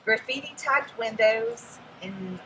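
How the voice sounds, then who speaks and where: A woman reads a picture book aloud for children in an expressive storytelling manner. Her voice is bright and she takes her time, speaking directly to young listeners. A little noise sits behind her. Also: fairly high pitch, slow pace, poor audio. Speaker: children's read-aloud host.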